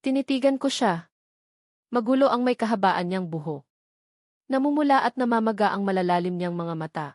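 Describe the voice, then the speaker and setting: Filipina lady narrating a sad love story, dramatic n emotional, medium pitch, no room echo. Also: lively intonation, a little fast, pauses often, darkish tone, studio quality. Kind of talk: dramatic first-person romance novel narration.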